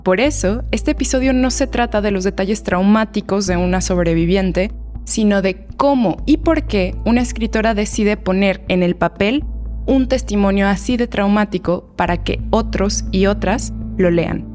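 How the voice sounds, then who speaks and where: Woman, documentary narration, mid pitch, steady scripted delivery, soft background music. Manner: measured, even storytelling. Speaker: podcast narrator.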